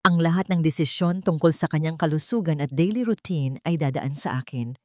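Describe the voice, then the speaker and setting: A steadfast young woman who was wronged, played by a female storyteller, speaks with a cold and measured firmness. Her voice sits slightly low, in the dry sound of a narrated drama story. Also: warm tone, dry recording, natural voice. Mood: firm, demanding. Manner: firm, measured, resolute.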